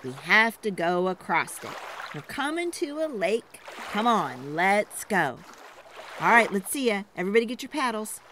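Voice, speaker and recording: A woman's voice: medium pitch, big pitch swings, normal speed, background noise. A woman storyteller giving a dramatic, rhythmic picture-book read-aloud for children, theatrical and urging listeners to join in.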